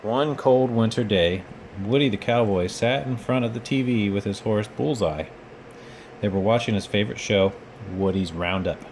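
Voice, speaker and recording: A man's voice: lowish voice, darkish tone, slight background noise, poor audio. A man reading a children's storybook aloud expressively, as if for bedtime. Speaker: storybook reader, children's read-aloud host.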